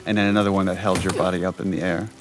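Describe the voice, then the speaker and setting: A man with a fairly low voice gives casual director's commentary. He is conversational and keen on small details. There is faint background noise under his talk. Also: level tone, racing. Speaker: game director.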